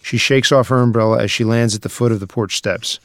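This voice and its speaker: A man's voice: medium pitch, fairly even intonation, brisk, dry recording. Dry-recorded male screenplay narrator. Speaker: stage directions reader.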